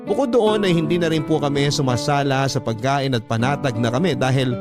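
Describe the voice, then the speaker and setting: A man's voice: medium pitch, fairly quick, music in the background, natural voice. A man acting as a grateful, devout storyteller, narrating a scripted radio drama earnestly and reflectively with a Filipino accent. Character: grateful, devout storyteller recalling family hardship.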